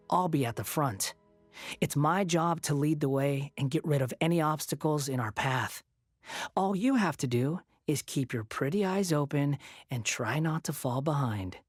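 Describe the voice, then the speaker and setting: A man's voice: on the high side, natural voice. An actor playing a smug, sardonic young man in a video game, speaking in a cool, snide and condescending manner. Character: smug, sardonic young male prisoner on temporary release.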